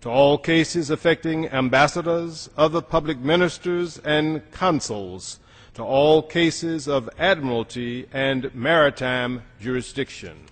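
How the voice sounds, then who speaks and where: A man's voice: a little high, a little slow. Man reading formally in a reverberant hall. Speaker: legislator reading a legal text aloud. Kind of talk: formal read-aloud. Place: large indoor chamber. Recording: reverberant large legislative chamber.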